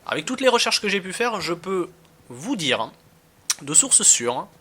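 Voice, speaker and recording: A man's voice: high-pitched, very animated, moderate pace, sharp tone, slight background noise. A man giving casual gameplay commentary as a reviewer, talking in a slangy, hesitant, rambling way. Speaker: video game reviewer.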